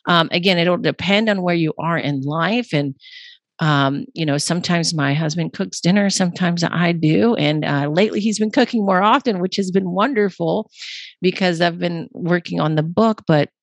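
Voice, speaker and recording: A woman guest who writes on nutrition, talking casually in a rambling way with many fillers, her voice a little hoarse today. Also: on the low side, moderate pace, dry recording. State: slightly hoarse. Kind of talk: casual podcast conversation.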